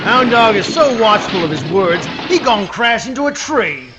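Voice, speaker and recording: A man's voice: high-pitched, big pitch swings, moderate pace, muffled recording, over loud music. A man playing a hot-tempered, blustering alligator sheriff in a comic radio play. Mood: scornful. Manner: blustering, folksy, exclamatory. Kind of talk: comic radio play acting, folksy dialect. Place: live reading at a hotel venue.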